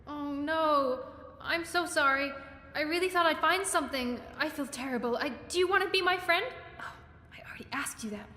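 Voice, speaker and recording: Eager, socially awkward young bookworm in a fantasy game. Higher register, rambling delivery, reverberant space. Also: female voice, moderate pace, sharp tone. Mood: apologetic, anxious. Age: young adult. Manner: gushing, rambling, self-correcting. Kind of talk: video game character acting. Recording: echoey hall, faint background noise.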